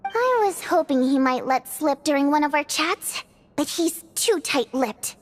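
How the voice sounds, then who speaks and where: High, lilting bubbly young schemer. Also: female voice, unhurried. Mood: confiding, scheming. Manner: expressive, chirpy, coaxing. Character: bubbly young woman, theater singer and cunning schemer. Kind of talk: video game voice acting. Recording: music under the voice.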